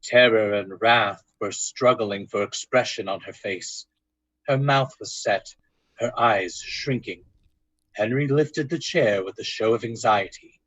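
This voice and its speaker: A man narrating a ghost story in old-time radio style, with deliberate, suspenseful storytelling. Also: lowish voice, fairly bright tone, no room sound, muffled recording.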